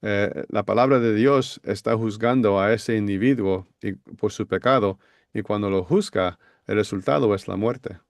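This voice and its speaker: A man's voice: fairly low pitch, moderate pace, vocal fry, dry recording, studio quality. A man explaining Bible passages on a podcast, hesitant with many fillers. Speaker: Bible teacher.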